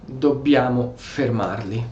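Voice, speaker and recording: A man with an Italian accent giving casual role-play gameplay commentary, halting and correcting himself as he goes. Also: mid-range voice, a little mellow, a little hiss or hum. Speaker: gameplay streamer. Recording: dull, roomy mic over game music. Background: game music.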